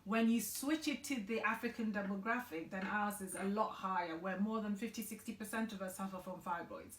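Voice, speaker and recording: A woman's voice: mid-range voice, fairly quick. A woman in her forties from Ghana, earnestly explaining health issues as a guest in a conversational interview. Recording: echoey room.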